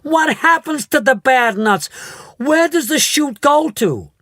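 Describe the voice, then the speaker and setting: A man's voice: high voice, very animated, normal speed, dry recording, voice pushed up. A man voicing a doting rich mother who shrieks in panic. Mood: frantic. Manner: shrieking, frantic. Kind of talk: storybook read-aloud.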